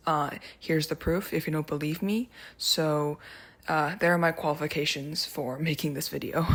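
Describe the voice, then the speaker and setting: Low-pitched young American woman, chatty. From United States. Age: young adult. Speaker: student video creator. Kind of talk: casual explainer vlog.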